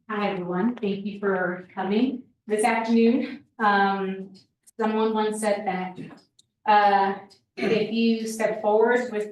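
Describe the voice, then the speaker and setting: A woman's voice: medium pitch, a little hiss or hum. Hesitant woman asking a question. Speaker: audience member asking a question. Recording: echoey hall from far off.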